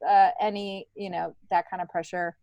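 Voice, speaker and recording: A woman's voice: lowish voice, little pitch movement, normal speed, gravelly, mellow, no room sound. A middle-aged woman, a podcast guest, telling stories in a casual, chatty, meandering way. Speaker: podcast guest, stand-up comedian. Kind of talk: casual conversational storytelling.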